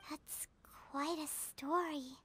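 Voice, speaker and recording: A woman voicing a tiny, childlike fairy companion in a video game, with a squeaky, piercing voice. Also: fairly even intonation, takes it slowly, fairly bright tone. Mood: hushed concern. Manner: childlike, refers to self by name. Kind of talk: cartoonish video game voice acting.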